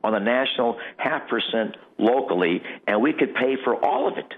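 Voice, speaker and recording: A man's voice: medium pitch, animated, moderate pace. An American man giving an emphatic, rambling political interview as a guest candidate, often repeating phrases for stress. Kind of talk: political interview, impassioned off-the-cuff argument. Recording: tinny, narrow phone-like line.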